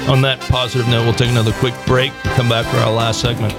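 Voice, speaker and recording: A man from the American South hosting a talk show, speaking bluntly and provocatively with halting restarts. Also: medium pitch, level tone, loud music behind the voice. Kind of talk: opinionated radio talk.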